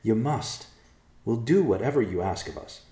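A man voicing a gentle, brave young male adventurer in a storybook, speaking earnestly and persuasively. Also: sits low, sing-song, normal speed, echoey room. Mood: pleading. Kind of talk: character dialogue in storybook narration.

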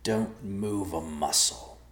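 A man voicing a menacing, irritable stranger in a story, with a gravelly voice and a slow, threatening manner. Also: low-pitched, a bit bright, reverberant, full character voice.

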